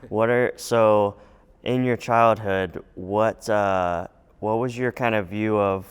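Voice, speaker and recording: A man's voice: on the low side, fairly even intonation, slow pace, clean tone. A male host interviewing a guest in a friendly, curious way with short reactions. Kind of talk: casual interview.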